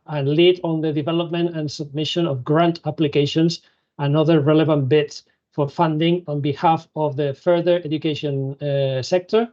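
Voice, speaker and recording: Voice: mid-range voice, darkish tone, dull audio. A moderator introducing a guest on an online panel, with frequent hesitations. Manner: hesitant, many filler words.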